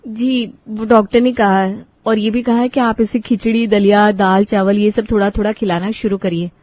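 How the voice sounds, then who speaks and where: A woman's voice: medium pitch, fairly even intonation, normal speed, darkish tone, close to an everyday voice. A woman voicing a harried, dutiful young daughter-in-law and mother in a family cartoon. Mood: dutiful, earnest. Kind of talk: Hindi moral-story cartoon acting.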